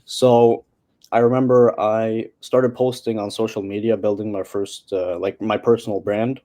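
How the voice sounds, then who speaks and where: A man's voice: lowish voice, flat delivery, measured pace, dark tone. A teenage boy from Norway, chatting as an interview guest and hesitating with many fillers. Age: teens. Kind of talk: casual interview chat.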